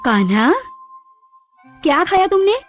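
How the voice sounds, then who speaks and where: A woman voicing an anxious, scolding mother in a children's mythological story, exclamatory and expressive. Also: sits high, unhurried. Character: Yashoda, doting, anxious, scolding village mother. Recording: music behind the voice.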